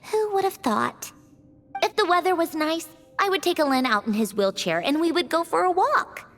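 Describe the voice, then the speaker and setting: A woman voicing a self-assured, brisk yet reflective female automaton in an English video game. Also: very high-pitched, animated, fairly bright tone, faint music behind, full character voice. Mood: wistful. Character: female created automaton, wistful, bossy, proud, fond of puzzles.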